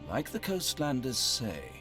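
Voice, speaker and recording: A man's voice: mid-range voice, huge pitch swings, measured pace. An actor playing a wry, world-weary nobleman commander in a fantasy game cutscene, with an urbane, sardonic and theatrical manner. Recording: voice over loud background music.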